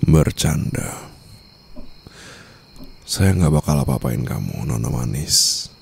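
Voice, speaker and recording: A man playing a menacing, teasing mafia boss newly married, in an ASMR romance roleplay, with a gravelly, murmuring voice and a smug, formal, controlled manner. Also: lively intonation, slow pace, slight background noise, full character voice. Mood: teasing menace. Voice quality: very deep, gravelly, often dropping to a breathy murmur.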